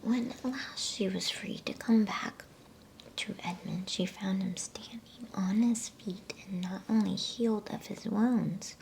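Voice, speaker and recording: A woman reading a bedtime story in a breathy, soothing ASMR whisper. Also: mid-range voice, slight background noise, rough recording. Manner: hushed, unhurried, soothing delivery. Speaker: storybook reader.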